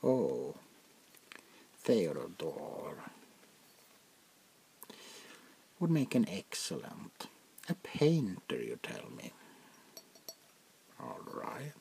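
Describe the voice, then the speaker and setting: A man playing an arrogant, power-hungry vampire prince in a soft-spoken ASMR roleplay, with haughty dramatic pauses and a gravelly voice that often sinks into whispers. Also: fairly low pitch, exaggerated voice. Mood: scornful, mocking.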